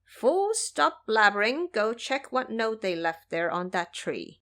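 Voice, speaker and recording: A woman's voice: fairly high pitch, big pitch swings, slow pace, sharp tone, exaggerated voice. A woman voicing a brash, cocky male monkey disciple in an audio drama, with a scolding, bossy and self-assured manner.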